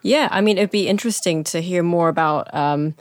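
A woman's voice: fairly low pitch, a little fast, no room sound, clean studio sound. A woman co-hosting a podcast, asking a question in a tentative casual way.

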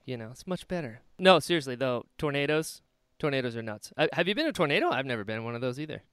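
A man's voice: mid-range voice, sing-song, fast talker, a bit bright, clean tone, no echo, clean studio sound. An American man from Florida hosting a podcast in an enthusiastic, effusive, casual way. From Florida, United States. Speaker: podcast host.

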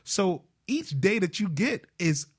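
Man, 40s, slightly high voice, reflective motivational guest. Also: sing-song, slow pace, husky. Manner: reflective, leans on "right?" and "you know". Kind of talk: motivational talk.

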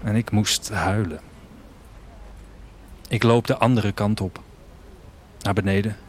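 A man playing a restless, wistful traveller, narrating his story in a reflective, measured literary audio drama style. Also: lowish voice, steady tone, a little hiss or hum. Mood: subdued, wistful. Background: music.

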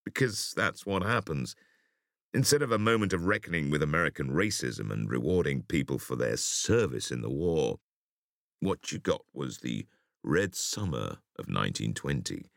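A man with an extremely low, creaky voice reads an audiobook essay. His delivery is measured and emphatic, with deliberate pauses and a lively rise and fall in pitch. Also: dry recording, studio quality. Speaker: audiobook reader. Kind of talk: audiobook reading of an interview essay.

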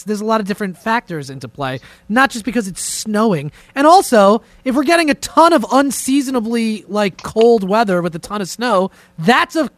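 A man's voice: high voice, dry recording. A man hosting a podcast in a casual, profane, joking style, steering the conversation. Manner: informal, joking, takes charge of the talk. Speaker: podcast host. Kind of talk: casual profane talk show chat. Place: indoors, remote conference call set up with camera.

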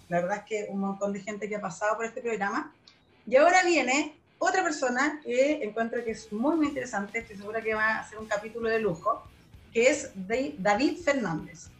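A woman hosting an interview show, introducing her guest and asking questions in a chatty way. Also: mid-range voice. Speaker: host.

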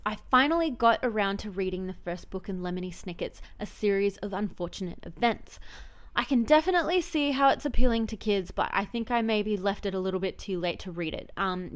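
A woman hosting a podcast, giving a prepared but conversational review. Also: mid-range voice. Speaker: podcast host. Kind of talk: prepared spoken book review.

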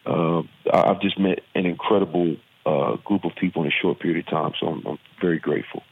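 A man's voice: low voice, steady tone, brisk, slightly rough. A man from Virginia with a Southern accent, an actor and former football player, giving earnest, reflective interview answers with many fillers. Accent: Southern American. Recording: tinny phone-like line.

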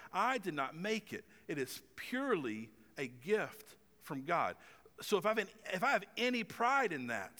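A man's voice: on the high side, bright, forceful tone, long reverb tail. A man of about forty preaching a sermon as pastor in a conversational, self-deprecating way, with some stumbles and fillers. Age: 40s. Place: church stage with long reverb.

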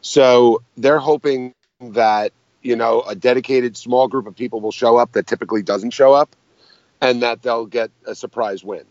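A hoarse man appearing as a guest, explaining his views in an analytical way. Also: mid-range voice, fairly even intonation, moderate pace, darkish tone, no echo, highs cut off. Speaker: podcast guest, political commentator. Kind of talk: casual interview chat.

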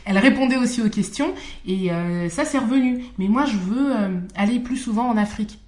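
A woman's voice: a little high, a little fast, darkish tone. A woman co-hosting a casual conversation, chatty, with easy laughs and many fillers.

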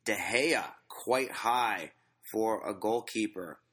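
Male podcast host, lowish voice, casual and chatty, stuffy with a cold. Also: slow pace, breathy edge. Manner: relaxed and chatty, with many fillers. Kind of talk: casual podcast talk reading out fantasy football stats.

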